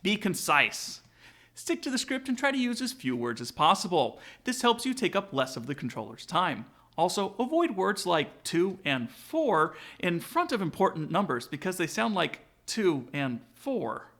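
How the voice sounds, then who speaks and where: Male vlog host for how-to videos: slightly high voice, very lively intonation, casual scripted delivery aimed straight at the viewer. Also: normal speed. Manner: casual, direct address to viewers. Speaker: aviation vlog host, student pilot. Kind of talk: upbeat scripted tutorial narration with sponsor read.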